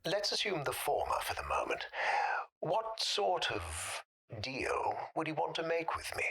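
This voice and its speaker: An actor playing a polite but sinister middle-aged man whom nobody can remember, speaking formally and deliberately in a husky, breathy voice for an audio drama. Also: a little high, huge pitch swings, pushed and bright, full character voice. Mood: guarded, deliberate. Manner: courteous, deliberate, formally worded.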